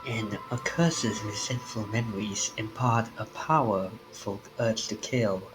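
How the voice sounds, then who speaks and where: A man's voice: fairly low pitch, low-quality recording. Man voicing a grave, menacingly polite game villain. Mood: grave warning. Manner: measured, stiffly formal, menacingly polite. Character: grave, formal man calmly warning of a deadly curse. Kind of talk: visual novel character voice.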